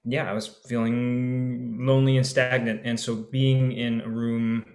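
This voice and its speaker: A man's voice: medium pitch, level tone, unhurried, darkish tone, echoey room. A man, an actor, speaking effusively on a video-call panel, restarting his sentences often. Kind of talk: casual reflective chat.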